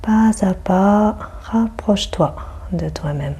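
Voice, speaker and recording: A woman guiding a yoga nidra meditation in a soothing, hushed manner, leaving long pauses between phrases. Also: mid-range voice, a little hiss or hum. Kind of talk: guided meditation.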